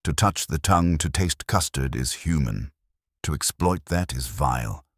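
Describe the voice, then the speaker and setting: A man's voice: very deep voice, expressive, moderate pace, slightly rough, no echo, clean studio sound, exaggerated voice. A man voicing an imperious, scornful gourmand detective in an audiobook, with formal, pompous pronouncements. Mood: grave, philosophical. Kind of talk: audiobook character dialogue.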